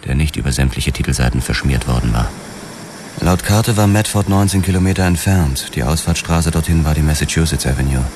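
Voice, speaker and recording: An actor narrating a noir radio drama as a world-weary police detective, terse, grim and sober. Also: male voice, extremely low pitch, background noise.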